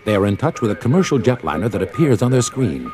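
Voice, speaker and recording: A man's voice: medium pitch, sing-song, fast, over music. A man narrating an audio drama in a dramatic scripted storytelling style. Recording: old story record with backing sound.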